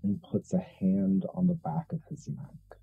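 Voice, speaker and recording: Muffled, gravelly man, slowly narrating. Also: deep voice, expressive, faint music behind. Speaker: player in a tabletop roleplaying game. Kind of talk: narrating his character's actions.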